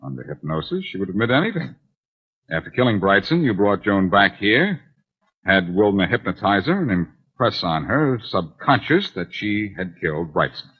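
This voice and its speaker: An actor playing a smooth, cocky detective in 1940s radio style, with wry, taunting, self-assured delivery. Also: male voice, fairly low pitch, big pitch swings, moderate pace. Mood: confident. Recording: dull, lo-fi 1940s radio recording.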